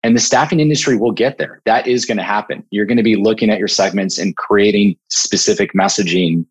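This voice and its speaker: A man's voice: sits low, husky, poor audio. A man speaking as an interview guest, explaining things in an informal, conversational way. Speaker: podcast guest, product evangelist in staffing software.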